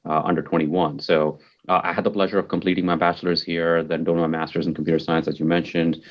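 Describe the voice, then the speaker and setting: Very deep-voiced man, upbeat and earnest. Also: level tone, fast talker, muffled recording. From Mumbai, India. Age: 40s. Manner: upbeat, earnest, emphatic repetition. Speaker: podcast guest, technology executive. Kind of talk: conversational interview answers.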